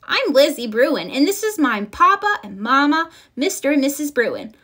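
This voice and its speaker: A woman plays a six-year-old girl bear cub from a children's storybook. The little cub is boastful and commanding, with a high, lively, bright delivery that loves to give orders. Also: moderate pace. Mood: eager. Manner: excitable, braggy, commanding. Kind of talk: children's storybook read-aloud.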